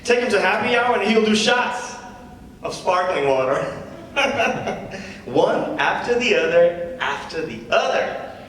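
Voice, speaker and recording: A man's voice: sits high, sing-song, slow pace, fairly bright tone, full character voice. A man acting as a cocky, defensive stand-up comedian with awkward, needling patter in a live script reading. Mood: cocky, teasing. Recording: distant echoey hall, faint noise.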